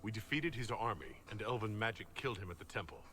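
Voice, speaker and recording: An actor playing a defiant, sardonic hero in a fantasy game, assertive and wry. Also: male voice, lowish voice, lively intonation, quick pace, bright, forceful tone, slight background noise. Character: defiant, sardonic male hero leading an army.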